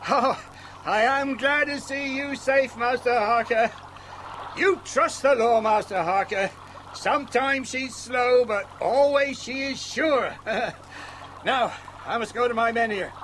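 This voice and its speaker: An actor playing a hearty, self-assured police officer in an audio drama. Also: male voice, very high-pitched, takes it slowly, fairly bright tone, slight background noise, exaggerated voice, low-quality recording. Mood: hearty, relieved.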